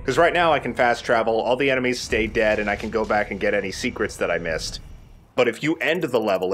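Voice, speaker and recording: A man's voice: lowish voice, fairly bright tone, slight background noise. A man giving casual, explanatory game commentary with rambling asides. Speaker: let's play commentator.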